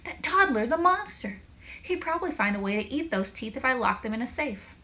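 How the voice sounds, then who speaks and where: A woman's voice: on the high side, wildly swooping pitch, a little fast, bright, forceful tone, heavily acted. A woman voicing a dramatic, indignant young boy in a children's storybook, with an emphatic, aggrieved manner. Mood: exasperated.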